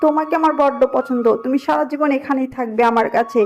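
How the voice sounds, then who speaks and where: A woman's voice: high-pitched, steady tone, fast, a little mellow, soft background music. A woman voicing a scheming village mother-in-law in a cartoon, chatty and self-assured, doting on her daughter-in-law. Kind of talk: Bengali cartoon voice acting.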